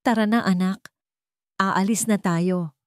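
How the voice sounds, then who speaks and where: A Filipino woman voicing a weary, coldly defiant wife and mother in an audiobook drama, calm and pointed. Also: medium pitch, takes it slowly, no echo, studio quality, lightly acted.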